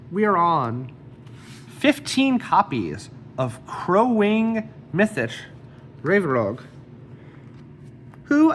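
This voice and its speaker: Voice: medium pitch, a little hiss or hum. A card game deck reviewer with a slight cold, casually explaining card effects one by one.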